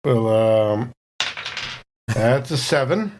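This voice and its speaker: A man's voice: mid-range voice, expressive, drawn-out words, background noise. A man chatting casually as a player in a tabletop game, hesitant and joking.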